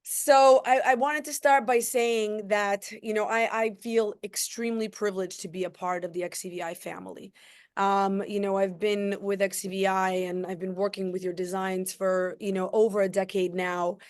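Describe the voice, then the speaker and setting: A woman's voice: mid-range voice, fairly even intonation, normal speed, no room sound. Effusive woman interviewer, dry sound. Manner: effusive, gushing phrasing. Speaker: interview host. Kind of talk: conversational interviewing.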